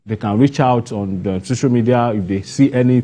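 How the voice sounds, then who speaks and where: Nigerian guy being interviewed, mellow relaxed tone, earnest, repeats himself to make the point. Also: mid-range voice, a little fast. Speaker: playwright and guest. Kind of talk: broadcast interview answers.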